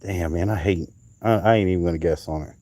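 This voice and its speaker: Guy on a night livestream, low dark voice, dry close sound, rambling and cussing loose. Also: fast, dry recording. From North Carolina, US. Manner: rambling, loose, swears casually. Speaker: livestream host. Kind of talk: casual livestream show-and-tell. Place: outdoors at night in summer heat.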